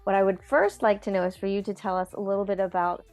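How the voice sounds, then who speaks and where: A woman's voice: medium pitch, steady tone, quick pace, darkish tone, faint music behind. A woman hosting her first podcast interview, enthusiastic and encouraging as she draws out her guest. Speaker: podcast host. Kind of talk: conversational interview.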